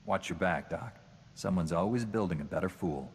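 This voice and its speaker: A sardonic captain in a sci-fi video game, with a very deep voice. He delivers dry quips with deadpan calm. A long reverb and faint ambience hang around each line. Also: moderate pace, slight background noise. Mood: wry.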